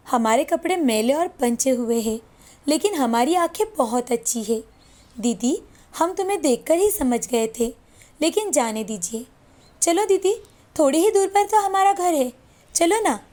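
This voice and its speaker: A woman voicing a calm, gentle poor mother in a moral story, polite and placid. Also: sits high, measured pace.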